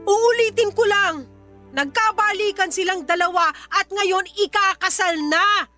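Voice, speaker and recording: A desperate young mother with a very high, bright voice and a Filipino accent. She pleads and demands indignantly in radio drama style, with faint music behind her. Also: measured pace, heavily acted. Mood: demanding.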